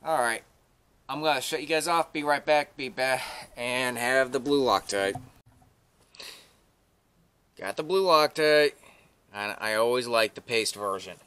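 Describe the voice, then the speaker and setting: A man's voice: mid-range voice, measured pace, long pauses, bright, forceful tone, clean tone. A man giving a casual, offhand hands-on tutorial to camera, recorded outdoors from a short distance. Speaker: DIY vlogger demonstrating an install. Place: outdoors beside a pickup truck. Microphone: camera set up a short distance away. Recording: noisy outdoor camera audio with clicks.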